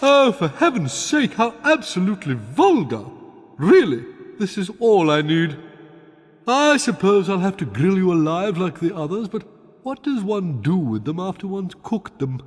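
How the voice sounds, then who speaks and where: High-pitched, pompous camp dragon. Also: male voice, very animated, unhurried, full character voice. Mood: exasperated. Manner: haughty, affected, theatrical drawl. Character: pompous, snobbish, camp, aesthete dragon weary of questers. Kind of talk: video game cutscene acting. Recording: long cavernous reverb.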